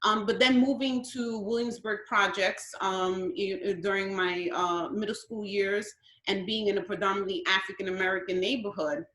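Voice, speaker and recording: A woman's voice: medium pitch, fairly even intonation, moderate pace, a bit bright, echoey room, low-quality recording. Earnest woman on a video call. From Brooklyn, New York. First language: Spanish. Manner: earnest, searching for words with frequent ums. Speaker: guest.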